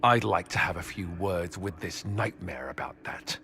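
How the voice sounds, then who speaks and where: A man's voice: low-pitched, lively intonation, normal speed, sharp tone, full character voice. A man playing a wry, plain-spoken warrior in a fantasy video game, with a dry conversational delivery. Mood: wry, wary.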